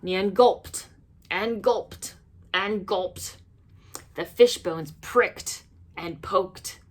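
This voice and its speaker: A woman reading a children's storybook aloud as an expressive narrator. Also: mid-range voice, very slow. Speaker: storybook reader.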